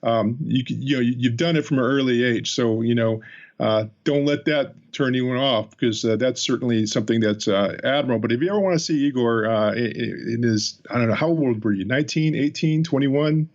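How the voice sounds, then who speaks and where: A man interviews his guest and drifts from thought to thought in a loose, teasing way. His voice sits at a middling pitch. It comes through a slightly muffled, dull-sounding remote connection. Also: fairly quick. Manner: rambling, teasing. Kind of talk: casual conversation.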